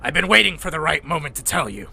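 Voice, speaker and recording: Sharp, creaky old robot medic played by a man. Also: a little high, sing-song, fast, exaggerated voice. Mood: weary, resigned. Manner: gruff, blunt, world-weary. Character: grumpy, blunt, aging male robot medic. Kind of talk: animated motion-comic dialogue.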